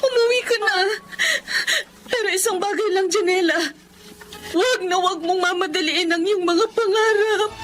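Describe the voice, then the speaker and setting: An actress playing an ashamed older cousin, crying and melodramatic as in Filipino radio drama. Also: female voice, very high-pitched, normal speed, music in the background. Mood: tearful confession. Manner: tearful, melodramatic. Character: ashamed, confessing older cousin caught by police.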